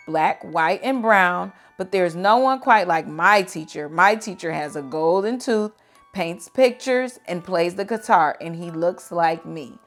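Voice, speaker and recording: Woman reading slowly to children over music. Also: mid-range voice. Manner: slow, deliberate, dramatic storytelling for young children. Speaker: teacher reading picture books aloud. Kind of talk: expressive children's read-aloud.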